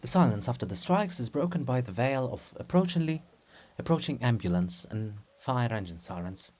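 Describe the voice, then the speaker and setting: A man narrating a play as a dramatic reading, deliberate and sometimes hushed, with occasional stumbles over words. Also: mid-range voice, lively intonation. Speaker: narrator and reader. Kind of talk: dramatic reading of stage directions.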